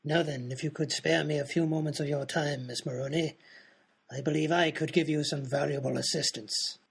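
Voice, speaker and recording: A man playing a mysterious, formally polite stranger in a trench coat for a radio comedy, with a gravelly voice. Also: on the high side, fairly even intonation. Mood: mysterious, courteous. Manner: formal, courteous, deliberate.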